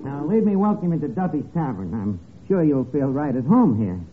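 An actor playing a brash, uneducated tavern manager who wisecracks in mangled slangy grammar in an old radio comedy. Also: male voice, a little high, sing-song, moderate pace. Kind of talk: 1940s radio comedy acting. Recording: tinny, very noisy old radio recording.

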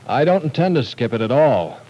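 A man's voice: mid-range voice, big pitch swings, a little fast, dark tone, rough recording. An actor playing a firm, fatherly professor in a 1940s radio drama, lecturing and cutting in to keep the talk in order. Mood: stern.

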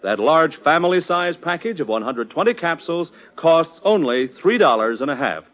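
A male announcer with an American accent delivers an emphatic, salesmanlike pitch in 1940s radio style. His fairly high voice swings widely in melody, on a muffled vintage recording. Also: lively intonation, normal speed.

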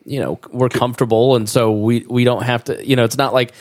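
A man's voice: medium pitch, fairly even intonation, a little fast. A man hosting a podcast in casual chat, telling self-mocking stories while getting over a cold. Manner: joking, self-mocking storyteller. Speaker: podcast host. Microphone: studio mic.

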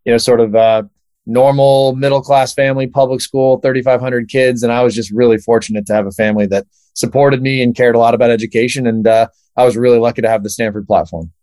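Fast-talking man in his thirties, dry sound. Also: mid-range voice, fairly even intonation, warm tone, no echo. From Southern California. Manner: quick, eager, many fillers. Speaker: guest, startup founder. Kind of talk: interview answers.

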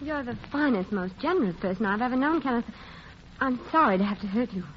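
A woman plays a nightclub singer in a vintage radio romance. Her voice sits on the high side, composed and wry yet tender and determined. The sound is dull and dated, with music plainly underneath. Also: lively intonation, fast, lightly acted. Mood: gentle, firm. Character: warm, witty nightclub singer, tenderly in love, resolute. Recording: dull old radio recording, music behind.

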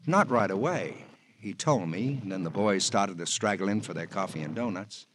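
Actor as brooding confidant, dramatically swooping low voice. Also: male voice, animated, lightly acted. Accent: American. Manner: halting, reflective, theatrical short phrases. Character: brooding, loyal male confidant, protective older friend. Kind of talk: 1950s American radio crime drama acting. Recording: faintly noisy old radio recording.

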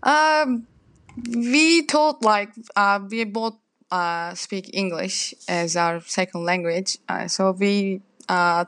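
A young woman with a Turkish accent talks slowly and haltingly, English not her first language, filling pauses with little laughs. The sound is dry and close, with no room in it. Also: mid-range voice, fairly bright tone, clear, resonant tone, no room sound. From Turkey. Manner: hesitant, many fillers and laughs. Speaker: chef, interview guest. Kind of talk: casual interview talk.

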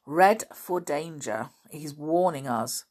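A woman reading a storybook and voicing a steady, sensible boy who leads his friends, in a calm and reasoning manner. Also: sits low, a little slow, husky, full character voice.

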